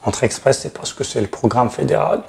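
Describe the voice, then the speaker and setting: A man hosts an explanatory advice video at a medium pitch. He addresses the listener directly and frankly, leaning hard on the words that matter. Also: brisk, vocal fry, a little mellow. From Tunisia. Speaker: channel host, regulated immigration consultant.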